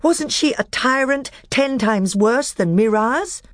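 A woman reading aloud, voicing a young king who speaks forcefully and indignantly. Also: on the high side, animated, slow pace, no room sound, clean studio sound, voice pushed up. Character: young male king, commanding.

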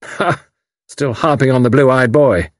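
A man's voice: medium pitch, unhurried, dry recording, studio quality, pitched above the performer's own voice, lightly acted. A man voicing a suave, mocking enemy commander who turns grimly threatening. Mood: mocking, smooth. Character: mocking menacing male enemy spy commander.

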